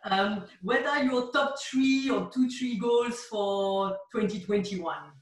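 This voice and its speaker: A woman's voice: medium pitch, slow pace. French-accented woman, echoey distant mic. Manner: friendly, laughing, chatty. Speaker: interviewer, host. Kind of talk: casual interview chat.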